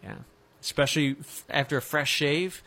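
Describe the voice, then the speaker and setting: A man's voice: on the high side, steady tone, moderate pace, bright, forceful tone. A man in his twenties hosting a sports talk show, dry and teasing as he steers the talk. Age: 20s. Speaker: sports radio host.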